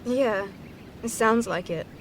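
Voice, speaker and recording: A woman's voice: a little high, takes it slowly, a little hiss or hum. An actress playing a restless young woman graduate, wry and challenging, in naturalistic audio drama. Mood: wry, suspicious. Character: restless young female graduate back home, wry and questioning. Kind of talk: naturalistic audio play dialogue.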